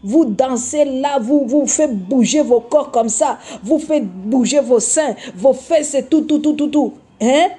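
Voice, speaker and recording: A woman's voice: sits high, takes it slowly, few pauses, dark tone, slightly rough, faint music behind. A woman from Congo giving a scolding video sermon, repeating herself often and hesitating with filler sounds. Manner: admonishing, repetitive, many hesitations and filler sounds. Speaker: online preacher.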